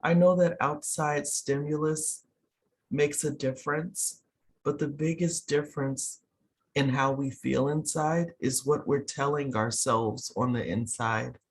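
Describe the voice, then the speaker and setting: A person leading a guided meditation in a soothing, unhurried way, pausing between short phrases. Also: medium pitch, fairly even intonation, slightly rough. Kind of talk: guided meditation, slow instructions.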